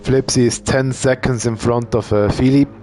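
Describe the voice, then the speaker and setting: A man doing casual live commentary on a sim racing event. He thinks out loud as he talks and uses fillers. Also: medium pitch, moderate pace, a little hiss or hum. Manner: relaxed, thinking aloud, with fillers.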